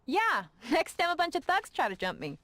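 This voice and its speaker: High-voiced, guarded young woman. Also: huge pitch swings, moderate pace, a bit bright, dry recording, full character voice. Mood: guarded, wry. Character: young woman, the hero's wistful old flame, guarded. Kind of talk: video game voice acting.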